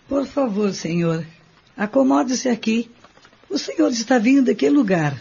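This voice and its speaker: A woman's voice: on the high side, expressive, measured pace, gravelly, mellow, husky, full character voice. A woman playing a courteous, soothing country housewife receiving a guest in a radio drama, with polite formal manners. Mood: polite, hospitable. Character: courteous, soothing married hostess of a rural house. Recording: dull, lo-fi recording.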